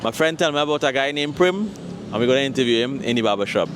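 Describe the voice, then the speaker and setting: A man's voice: medium pitch, lively intonation, quick pace, background noise. A man with a Trinidadian accent hosting a street interview show, upbeat as he introduces guests and asks questions. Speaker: host. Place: city street, then inside a barbershop.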